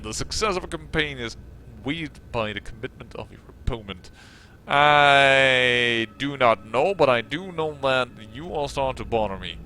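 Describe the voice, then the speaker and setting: A man's voice: medium pitch, pushed and bright, slight background noise. A Dutch man with a Dutch accent, hosting a let's play and rambling in chatty, self-mocking commentary. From Netherlands. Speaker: gaming channel host.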